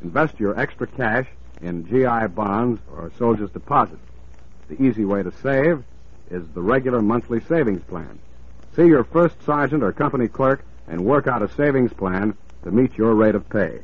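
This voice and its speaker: Husky 1940s radio announcer, male. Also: lowish voice, lively intonation, moderate pace. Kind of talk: 1940s scripted public service narration. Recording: muffled 1940s radio recording.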